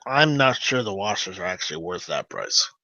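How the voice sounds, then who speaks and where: A man chatting casually as a podcast co-host, joking and quick to tease. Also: low-pitched, big pitch swings, sharp tone, dry recording. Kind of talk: casual chat about firearms. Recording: dull lo-fi mic audio.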